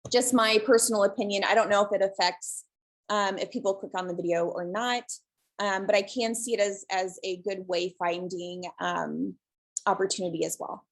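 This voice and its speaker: Calm woman, webinar presenter on a video call; soft, unhurried, hesitant fillers. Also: medium pitch. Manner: relaxed, many ums, soft and calm. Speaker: guest presenter, shelter social media creator.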